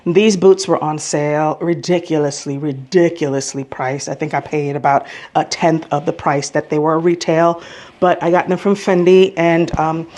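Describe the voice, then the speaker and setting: Low-voiced chatty American woman vlogger. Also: fairly even intonation, normal speed, darkish tone. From United States. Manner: chatty, opinionated, emphatic repetition. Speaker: travel vlogger. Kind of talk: casual storytime vlog.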